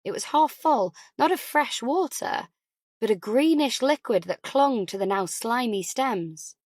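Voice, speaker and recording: A woman's voice: fairly high pitch, lively intonation, unhurried, no echo, studio quality. A woman reading a novel as an audiobook narrator, in scripted storytelling style.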